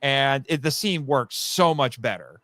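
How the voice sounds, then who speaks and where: A man chatting casually as a guest on a live podcast, telling stories in a rambling, animated way and acting out quoted remarks. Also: medium pitch, a little slow, dry recording. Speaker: guest, audio drama writer.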